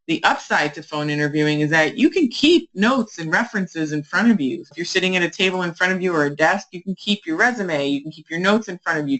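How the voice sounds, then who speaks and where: A woman with a slightly low-pitched voice speaks as a podcast coach. She gives practical instruction in a solo advice format. Her delivery is emphatic, often restating key phrases to drive them home. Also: a bit bright, low-quality recording. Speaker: career coach podcast host.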